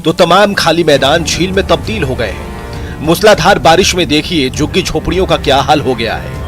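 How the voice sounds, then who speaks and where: A man delivering a scripted, dramatic news voice-over. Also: fairly high pitch. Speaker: news narrator. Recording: music bed behind voice.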